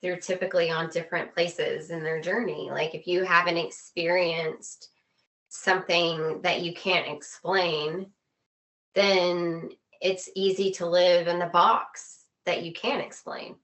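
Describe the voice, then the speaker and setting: Woman podcast guest, kinda low voice, chatting casually, thinking out loud and rewording stuff. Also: little pitch movement, unhurried, rough recording. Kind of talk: casual conversation.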